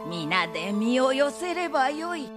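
A woman acting a resolute village elder in a radio drama, with deliberate, old-fashioned declamatory speech. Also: sits high, big pitch swings. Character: resolute village elder hero of a tsunami tale. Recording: loud music under the voice.

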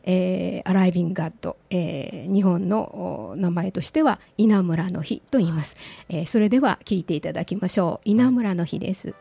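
Woman explaining politely in a mellow voice. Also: on the low side, fairly quick, gravelly, slightly rough, no room sound. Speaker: disaster information presenter and theatre troupe member. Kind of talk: explanatory radio talk.

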